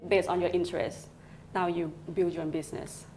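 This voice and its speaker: A woman with a Thai accent asking interview questions in halting, simply phrased English. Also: lowish voice, level tone, darkish tone, a little hiss or hum. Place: office, indoors.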